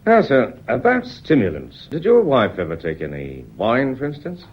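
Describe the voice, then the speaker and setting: An actor playing a courteous, probing British police inspector in a radio mystery play, formal and deliberate in his questioning. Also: mid-range voice, huge pitch swings, husky. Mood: insistent, courteous. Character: probing, measured, courteous male Scotland Yard police inspector. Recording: dull, muffled radio drama recording.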